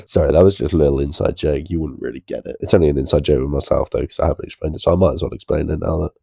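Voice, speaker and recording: A man's voice: a bass voice, steady tone, very fast, gravelly, mellow, husky, no echo, clean studio sound. A young man in bed, mumbling drowsy, self-mocking reaction commentary. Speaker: streamer reacting to a video. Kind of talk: crude casual reaction commentary. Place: bedroom, in bed.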